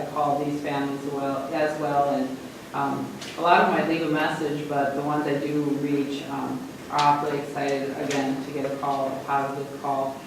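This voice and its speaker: A man giving a school principal's report at a board meeting, halting with many fillers and restarts. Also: fairly high pitch. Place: large meeting room. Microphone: distant room mic. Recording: echoey room from far off, noisy.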